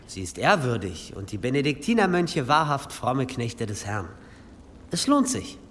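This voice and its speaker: A pious, deferential pilgrim in a radio drama. He speaks formally and eagerly in a lively, varied mid-range voice, in long hall reverb with some ambient noise. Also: mid-range voice, normal speed. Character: polite, deferential pious pilgrim guest at a monastery. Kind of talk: German radio drama acting.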